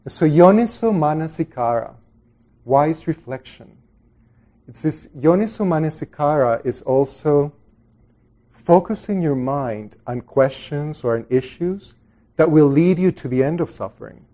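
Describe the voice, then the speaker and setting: A man's voice: a little high, warm tone. A middle-aged man from El Salvador giving an informal dharma talk to a group, conversational and reflective, often trailing off into asides. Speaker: Buddhist meditation teacher.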